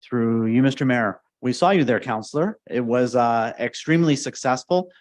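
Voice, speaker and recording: A man reports to town council in an earnest, upbeat manner and often repeats his phrases. His pitch sits in the middle for a man. The sound is dry and close. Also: normal speed, no room sound. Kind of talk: staff report at council meeting. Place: council chamber.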